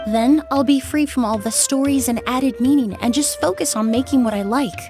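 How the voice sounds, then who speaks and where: An actress playing a young perfumer in a video game, polite, composed and measured. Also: female voice, high voice, music in the background. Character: young female perfumer, poised and earnest.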